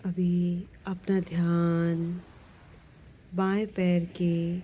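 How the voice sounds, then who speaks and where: A woman leads a guided relaxation meditation, giving gentle, soothing instructions with long pauses between phrases. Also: fairly low pitch, flat delivery, husky, highs cut off. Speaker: yoga nidra meditation instructor.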